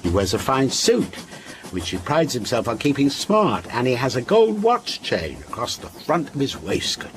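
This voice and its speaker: A lively-voiced, wistful storytelling man. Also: mid-range voice, a little hiss or hum. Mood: wistful, measured. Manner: deliberate, insistent, lyrical storytelling. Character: wistful, contrary older man telling a haunting story. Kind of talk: radio drama acting, storytelling.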